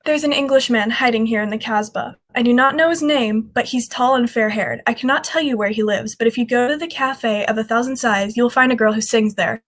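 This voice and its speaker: A woman's voice: a little high, fairly quick, hardly any pauses, a bit bright. A woman playing a charming, greedy young café proprietor of the underworld in a radio drama, teasing and wheedling. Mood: confiding, coaxing. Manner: wheedling, playful haggling. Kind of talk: 1940s radio drama acting.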